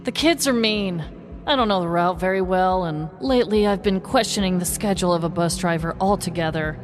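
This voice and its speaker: A woman's voice: lowish voice, fairly quick, soft background music, natural voice. A woman playing a weary, exasperated middle-aged school bus driver in a comic audio story, whining half-heartedly. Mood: weary complaining. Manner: half-hearted, whimpering, complaining. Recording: echoey, noisy recording.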